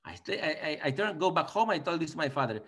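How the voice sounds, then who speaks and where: A man's voice: a little high, sing-song, quick pace, a bit bright. A man in his forties with a Greek accent, speaking as a guest on a video call interview, thoughtful and full of fillers and restarts. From Greece. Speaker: guest economist. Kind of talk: conversational interview. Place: small indoor room. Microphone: laptop webcam on video call.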